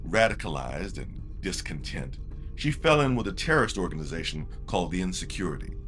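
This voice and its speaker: A man narrates a fantasy audio drama in a very deep, dark voice. His measured storytelling moves through lively, theatrical intonation. Faint music runs underneath.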